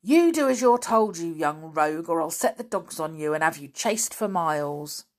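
A woman voicing a bad-tempered circus man who shouts angry threats, reading a storybook aloud. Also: low-pitched, lively intonation, normal speed. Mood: furious.

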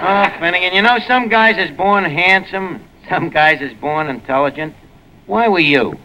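Actor playing high-pitched wisecracking New York tavern guy. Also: normal speed, crowd noise, close to an everyday voice. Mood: pitying. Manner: wisecracking, slangy, malapropisms. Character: Archie, brash slangy tavern man chasing dates, mangles fancy words. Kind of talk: 1940s radio comedy acting. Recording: noisy old radio recording with studio audience.

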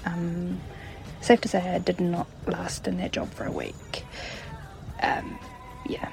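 A woman, a caller telling a short anecdote slowly and with hesitant fillers. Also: lowish voice, vocal fry, music in the background, low-quality recording. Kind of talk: voice message anecdote.

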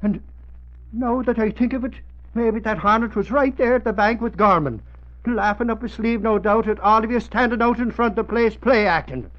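An actor playing an excitable, boastful Irish newspaper reporter in 1940s radio drama style. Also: male voice, high voice, moderate pace, lightly acted. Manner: blustering, indignant. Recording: dull old 1940s radio recording.

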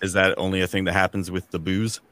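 A man's voice: low-pitched, little pitch movement, fast talker, a bit bright. A man co-hosting a podcast in casual, joking banter. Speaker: podcast co-host.

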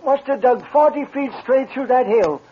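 High-voiced crusty Scottish miner. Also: male voice, normal speed. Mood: talkative. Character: crusty old Scottish miner, talkative and grumbling. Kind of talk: old-time radio western acting. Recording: muffled, noisy old radio recording.

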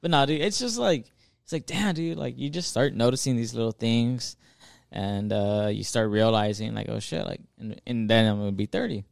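A man's voice: mid-range voice, normal speed, gravelly, no echo, studio quality. A man in his twenties, a podcast co-host, rambling and laughing in casual chat with friends and swearing a lot. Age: 20s.

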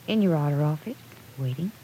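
A woman's voice: sits low, expressive, a little fast, mellow, slightly rough, rough recording. An actress playing an earnest, persuasive young businessman's daughter in an old radio drama, pleading and insistent. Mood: insistent, coaxing. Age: young adult.

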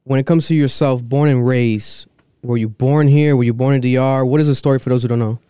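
A man hosting a casual interview, hyping up his guest with an animated, laughing delivery. His voice is mellow and smooth, recorded dry and close. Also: medium pitch, a little fast, no echo. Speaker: podcast host.

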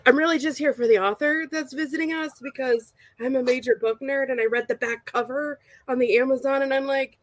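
A tired-sounding woman co-hosting a podcast, speaking in a hushed, dry, self-deprecating way. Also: high-pitched, steady tone, fairly quick, hardly any pauses, no room sound. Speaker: podcast co-host, organizing expert.

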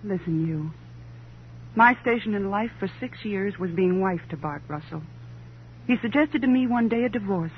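Brittle, wry society divorcée, played by an actress, tinny old radio. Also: female voice, mid-range voice, expressive, normal speed, close to an everyday voice. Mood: flippant. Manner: arch, sardonic, affected society phrasing. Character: brittle, wry, wealthy divorced woman, flippant and proud. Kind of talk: 1950s radio crime drama acting. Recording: muffled old radio recording, hum and hiss.

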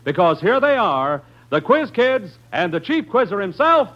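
A man's voice: high-pitched, sing-song, normal speed. An American man working as a radio announcer, delivering sponsor lines and show introductions in 1940s style. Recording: muffled, noisy vintage radio broadcast.

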